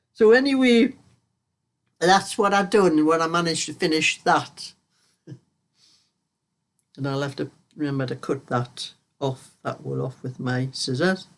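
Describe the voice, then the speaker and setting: Older woman, vlog host, croaky with a cold, rambling and chatty. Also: deep voice, slow pace, pauses often. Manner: rambling, chatty storyteller who laughs often. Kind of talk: casual chat while knitting.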